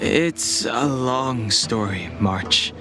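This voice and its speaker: An actor playing a terse, solemn young warrior with dragon powers in a fantasy game. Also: male voice, medium pitch, expressive, extremely slow, loud music behind the voice. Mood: subdued. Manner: terse, intense. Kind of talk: dramatic game voice acting.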